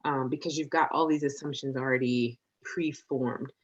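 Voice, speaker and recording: A woman's voice: a bass voice, moderate pace, husky. Participant: outspoken woman, mid voice, muffled call audio. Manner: outspoken, animated. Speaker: workshop participant. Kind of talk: online workshop discussion.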